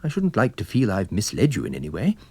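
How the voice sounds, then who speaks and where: Man voicing a lively, courteous amateur sleuth. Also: fairly low pitch, fast, husky, no echo, heavily acted. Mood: assured, polite. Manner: courteous, inquisitive, chatty. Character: polite, curious, shrewd travelling wine salesman turned sleuth.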